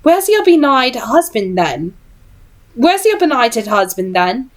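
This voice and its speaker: A woman voicing a sardonic, scornful young gentleman in a storybook, dry and mocking. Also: fairly high pitch, sing-song, takes it slowly.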